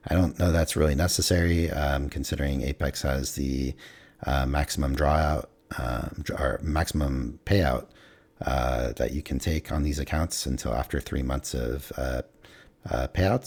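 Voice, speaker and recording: Man, very deep and breathy, going hoarse; rambling desk-mic trade recap. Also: darkish tone. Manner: rambling, many fillers and restarts. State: losing his voice, clearing throat. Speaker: futures trader reviewing his trades. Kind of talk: casual screen-recorded trade review.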